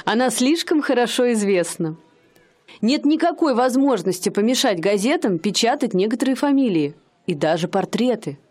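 A woman's voice: a little high, expressive, moderate pace, darkish tone. A woman playing a haughty, weary young lady. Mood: languid. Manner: haughty, mannered, languid speech. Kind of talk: radio play acting.

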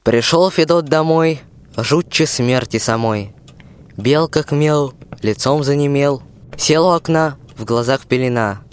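Storyteller played by a man: crisp, resonant, mid-pitched voice, rhymed folk narration. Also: a little slow, lightly acted. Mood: ominous. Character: folksy fairy-tale storyteller.

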